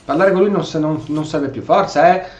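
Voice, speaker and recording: A man's voice: a little high, quick pace. A man giving casual, exclamatory role-play commentary on a video game, in a roomy recording with game sound behind. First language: Italian. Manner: exclamatory, animated. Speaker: gameplay streamer. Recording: roomy, slightly dull mic, game noise behind. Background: video game audio.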